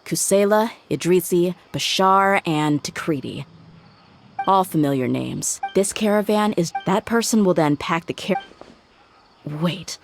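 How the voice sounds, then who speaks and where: Low-voiced frank female mercenary, music behind. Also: measured pace, gravelly. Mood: puzzled, focused. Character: loyal, frank young female mercenary bodyguard. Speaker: video game character voice. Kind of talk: scripted game dialogue.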